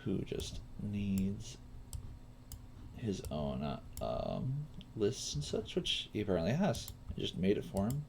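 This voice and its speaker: A man teaching coding on a casual livestream, thinking aloud in a halting mutter as he works. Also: fairly low pitch, very animated, creaky, a little mellow. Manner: halting, muttering to himself while working. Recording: faint noise, keyboard typing and clicks. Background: computer keyboard typing and mouse clicks.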